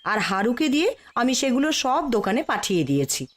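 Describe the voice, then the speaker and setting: A woman's voice: on the high side, big pitch swings, fast talker. A woman playing a scolding, principled wife in a moral-tale cartoon, with a firm, admonishing manner.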